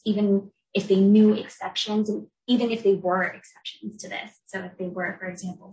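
A young woman from the United States giving an academic talk as a researcher, explaining in a clear, measured way. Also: lowish voice, darkish tone. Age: young adult. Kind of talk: academic lecture. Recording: echoey room, voice from a distance.

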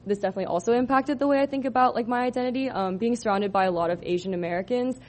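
A woman's voice: fairly high pitch, rapid-fire. A woman in her twenties from Southern California presenting her senior thesis to an audience, casual with many ums and likes. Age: 20s. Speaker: student presenter.

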